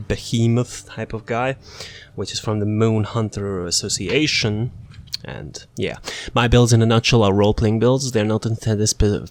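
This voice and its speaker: A man presenting a casual video game build walkthrough in an offhand, rambling, self-deprecating way. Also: on the low side.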